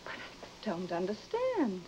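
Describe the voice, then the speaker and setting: A woman's voice: medium pitch, huge pitch swings, slow pace, a little mellow. A woman playing a polite, timid young wife in an old-time radio drama. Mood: anxious, puzzled. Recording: muffled, hissy vintage recording.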